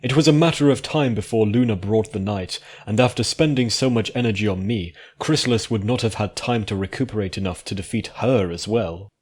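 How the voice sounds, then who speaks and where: Storybook pony princess played by a man: gentle, regal, measured, composed, pitched low for the role. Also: lightly acted. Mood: calm, assured. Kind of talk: dramatic fan fiction reading.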